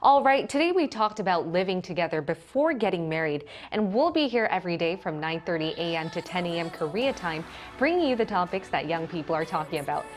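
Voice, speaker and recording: A woman's voice: lowish voice, animated, a little fast, hardly any pauses, soft background music. A young woman presenting a live morning discussion show as host in a TV studio.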